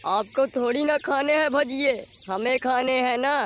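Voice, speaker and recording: A man voicing a stubborn, sulky young crow chick who makes demands in an animated moral story. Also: extremely high pitch, unhurried. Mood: sulky demanding. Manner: sulky, insistent.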